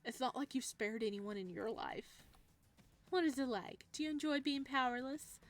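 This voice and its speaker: A sadistic, godlike man who experiments on people, acted out by a woman in a visual novel read-aloud. The delivery is playful yet menacing, with clinical detachment and mocking relish. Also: moderate pace. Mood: mocking menace. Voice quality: low, slightly raspy as written. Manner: clinical, mocking, savoring words. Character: gleeful sadistic male living-god experimenter, playful and menacing.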